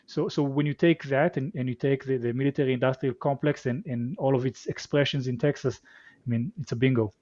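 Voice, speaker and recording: A man's voice: mid-range voice, steady tone, a little fast, a little mellow, dry recording, highs cut off. A man with an Israeli accent, a guest in a casual interview who talks reflectively and often repeats his words. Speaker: venture capital guest.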